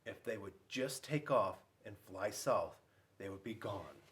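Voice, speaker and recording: A man's voice: on the low side, expressive, unhurried, a bit bright, pitched below the performer's own voice. A man voicing a grumpy adult male bear in a storybook, grumbling with exasperation. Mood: resigned grumbling.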